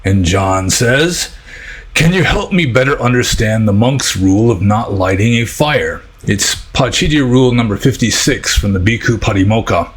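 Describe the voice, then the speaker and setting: A man with an American accent and a husky voice, talking informally and a little ramblingly as a Buddhist teacher answering questions. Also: on the low side, expressive, moderate pace. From United States.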